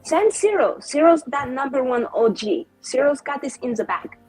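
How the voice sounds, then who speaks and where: A woman's voice: high voice, very animated, moderate pace, darkish tone, breathy edge, no echo, soft background music, pitched above the performer's own voice, exaggerated voice, rough recording. A woman playing a cocky, spiteful, foul-mouthed female mage in an improvised tabletop roleplay, with brash slangy delivery. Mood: sassy, spiteful.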